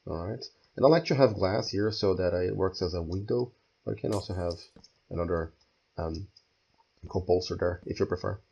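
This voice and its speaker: A hoarse man who is losing his voice, walking through a game build step by step and thinking aloud as he goes. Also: low-pitched, fairly quick, dark tone, muffled recording. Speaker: game tutorial creator. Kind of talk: screen-recorded building tutorial.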